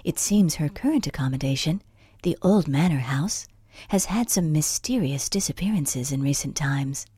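A woman playing a polite, formal gentlewoman reading out a period letter in a measured, apologetic way. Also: low voice, lively intonation, slightly rough, no echo, clean studio sound. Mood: politely regretful. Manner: measured, genteel.

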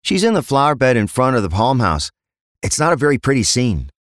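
A man acts out a police constable in a crime audiobook. He is earnest and deferential, reporting dutifully. The pitch sits raised above the narrator's own, in a dry recording. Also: sing-song, brisk, dry recording, lightly acted. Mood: uneasy.

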